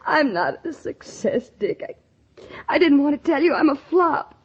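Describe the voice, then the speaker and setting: A woman's voice: sits high, sing-song, measured pace. An actress playing an earnest, lovelorn young small-town woman in an emotional, breathless 1940s radio drama style. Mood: regretful, yearning. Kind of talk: 1940s radio drama acting with first-person narration. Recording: muffled 1940s radio broadcast recording.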